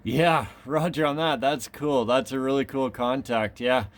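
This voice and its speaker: A man's voice: mid-range voice, fairly even intonation, measured pace, noisy background. A man chatting casually as a radio operator, in an affable, somewhat hesitant way. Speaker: amateur radio operator, video maker. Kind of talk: casual radio contact chat.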